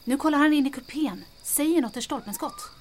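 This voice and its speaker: A woman's voice: sits high, a little hiss or hum, natural voice. A woman playing a spirited, practical young woman in an audio drama, eager and exclamatory as she bickers with her companion. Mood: watchful.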